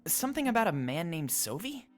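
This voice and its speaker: A woman's voice: on the low side, sing-song, brisk, echoey room, in a large hall, faint music behind. A woman voicing a small, eager, easily indignant young beast-folk companion in a visual novel game. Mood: hesitant, earnest. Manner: eager, emphatic.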